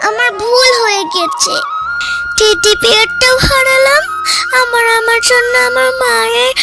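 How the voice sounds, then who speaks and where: A woman's voice: very high voice, lively intonation, a little slow, gravelly, heavily acted. A woman voicing an earnest, pleading little fox girl in a children's cartoon. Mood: sad, sorry. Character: earnest young girl fox cub, sad and eager. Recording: loud children's music behind.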